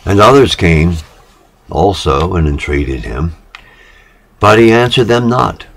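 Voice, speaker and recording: Male literary reader, deep voice, unhurried and deliberate, solemn delivery with frequent pauses. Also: lively intonation, a bit bright. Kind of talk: solemn literary prose-poetry reading.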